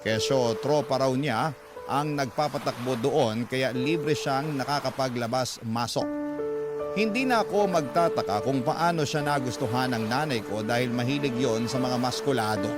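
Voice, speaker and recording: A male radio host reads a letter as dramatic first-person narration. His voice sits at a medium pitch, with loud music running underneath.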